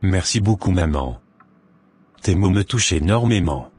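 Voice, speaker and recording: A man's voice: low-pitched, animated, normal speed, darkish tone, husky, no room sound, faint music behind. A man playing a polite, obedient schoolboy son in a cartoon, speaking in a formal, deferential way. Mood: grateful. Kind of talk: scripted cartoon dialogue.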